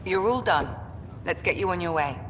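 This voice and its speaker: Game ship doctor, female actor: female, low-ish, composed, matter-of-fact, over loud ambient noise. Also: expressive, normal speed, in a large hall, natural voice. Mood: brisk, calm. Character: calm professional female spaceship medical doctor.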